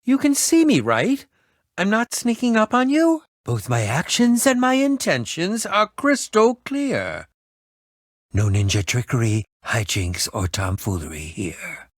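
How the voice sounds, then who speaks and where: A man's voice: high voice, sing-song, measured pace, no room sound, studio quality, full character voice. An actor playing an eccentric, self-important man who fancies himself a ninja in a video game, speaking in a pompous, dramatic, wordy manner. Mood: eager, insistent. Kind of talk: animated video game voice acting.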